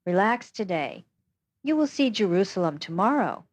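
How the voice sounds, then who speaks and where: A woman voicing Jonah, a patient, calm older Swiss man, in an audiobook reading. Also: mid-range voice. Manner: calm, unhurried, reassuring.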